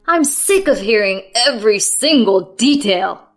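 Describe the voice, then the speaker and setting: A woman's voice: fairly high pitch, animated, unhurried, soft background music. A woman voicing an irritated, domineering husband who barks harsh orders. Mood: yelling.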